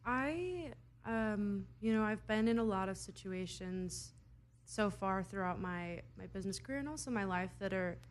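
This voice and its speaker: A woman's voice: mid-range voice, animated, moderate pace, a little hiss or hum. Earnest young woman from Idaho. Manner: earnest, thoughtful, laughs between answers. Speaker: singer-songwriter guest. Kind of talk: interview answers.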